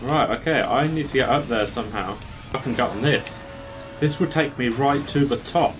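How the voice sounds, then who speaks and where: An excitable young man gives casual commentary on the game he plays and keeps exclaiming to himself. He speaks into a desk mic in a small room at home. Loud game music plays underneath. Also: mid-range voice, moderate pace. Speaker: gaming streamer. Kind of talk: casual let's-play commentary.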